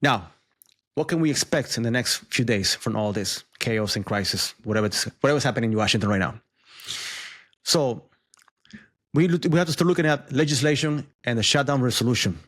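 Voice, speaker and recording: A man's voice: mid-range voice, animated, a little fast, a bit bright. Man explaining the news in conversational asides. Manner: conversational, explanatory, addresses viewers with questions and asides. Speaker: host of a news commentary channel. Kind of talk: news explainer commentary, semi-scripted.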